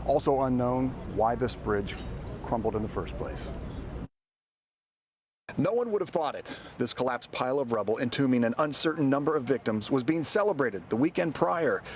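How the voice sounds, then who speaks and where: Male TV reporter over clear street noise. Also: mid-range voice, animated, normal speed. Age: middle-aged. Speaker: TV news reporter. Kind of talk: live report and scripted news narration. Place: outdoors by a road at night.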